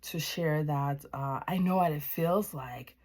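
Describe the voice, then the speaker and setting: A woman from Haiti shares a personal testimony with viewers in a reflective, deliberate way, often pausing mid-sentence. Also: low-pitched, darkish tone. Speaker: wellness practitioner giving testimony.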